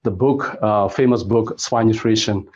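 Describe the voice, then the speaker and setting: A middle-aged man speaks in a somewhat low voice. He answers interview questions gently, pausing often to search for his words. The audio is dull and lo-fi, like a remote recording. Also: fairly even intonation, slightly rough. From Burma. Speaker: guest expert.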